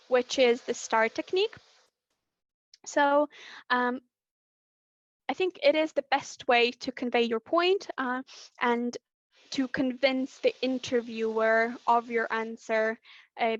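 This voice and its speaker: A woman giving an online training session, losing her voice, speaking deliberately and drawing out key words. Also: high-pitched, no echo, lo-fi sound. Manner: deliberate, drawn-out letter by letter. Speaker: webinar presenter. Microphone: computer mic on a video call.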